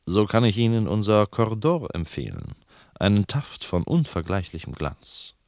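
A man's voice: fairly low pitch, very animated, moderate pace, a little mellow, no echo, studio quality. A man reading the lines of a store owner praising his silks in a lilting sales patter. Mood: persuasive. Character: smooth-talking male store owner selling silks. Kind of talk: literary reading.

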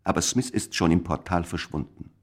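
A man narrating a scripted radio play in a measured, literary manner. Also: deep voice, slight background noise.

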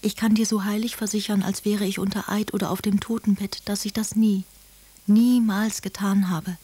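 An actress playing a frail, dying older woman, solemn and wounded, in a hushed radio drama reading of letters. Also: mid-range voice, level tone, dry recording, lightly acted. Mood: solemn, earnest. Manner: hushed, breathy, measured and earnest. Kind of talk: German radio drama, letters read in character.

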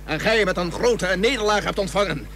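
Hot-headed, indignant naval rival. High, forceful voice, declamatory radio-play style, old recording with faint hum. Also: male voice, a little fast. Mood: indignant, proud. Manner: declamatory, defiant, clipped phrases. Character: proud, defiant, hot-headed naval commander, wronged rival. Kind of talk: historical radio play acting.

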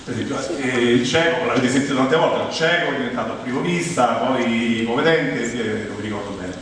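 A man's voice: mid-range voice, a little fast, fairly bright tone. A man with a Roman accent performing a comic theatrical monologue in a wry, ironic, conversational way, with mock-solemn turns. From Rome, Italy. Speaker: stage monologuist. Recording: very echoey hall, distant mic, clear noise.

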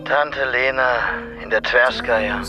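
An actor playing a suspicious, abrasive underworld contact in a radio play, curt and mocking. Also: male voice, mid-range voice, steady tone, a little slow, lightly acted. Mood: guarded. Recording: music under the voice.

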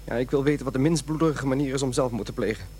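An actor playing a desperate, self-deluding middle-aged smoker in a radio drama, talking in an earnest, halting, theatrical way. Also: male voice, medium pitch, a little mellow, a little hiss or hum, natural voice.

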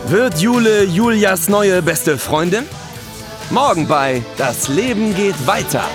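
An actor playing a melodramatic announcer of a TV soap trailer. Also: male voice, high voice, expressive, slow pace. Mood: teasing. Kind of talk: scripted TV soap promo. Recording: loud music bed.

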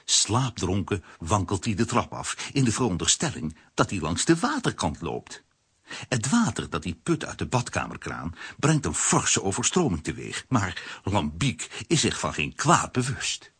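A man narrating a scripted children's story in a rough, husky voice with animated storytelling. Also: on the low side, unhurried, full character voice.

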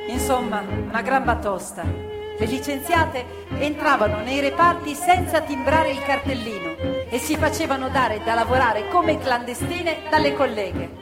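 A woman's voice: high-pitched, expressive, measured pace. A woman narrating a stage play about factory workers with impassioned storytelling that slips into song. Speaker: theatre narrator. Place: theatre stage. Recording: live stage show with long reverb and music.

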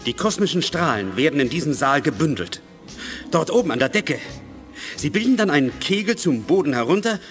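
An actor playing an earnest, intense mutant with special powers in a radio drama, encouraging and emphatic. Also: male voice, mid-range voice, a bit bright, natural voice. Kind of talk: 1980s German radio drama acting. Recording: long hall reverb.

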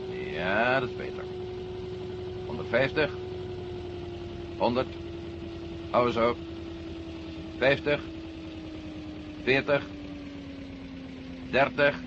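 An actor in a Dutch radio drama playing a laconic, wry male astronaut piloting a lander, with measured delivery. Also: medium pitch, animated. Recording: muffled old radio recording, loud engine hum.

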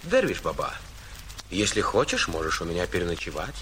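An actor playing a cheerful, hospitable poor bast-shoe maker who laughs often, in an audio fairy tale. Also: male voice, low voice, wildly swooping pitch, brisk, fairly bright tone, a little hiss or hum, heavily acted. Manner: jolly, laughing often, eager to welcome.

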